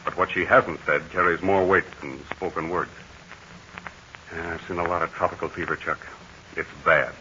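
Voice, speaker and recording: An actor playing a brave, steady aviator hero in a 1940s radio serial, talking in a calm, assured and commanding way. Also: male voice, low-pitched, moderate pace, a little hiss or hum. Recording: dull lo-fi 1940 radio transcription.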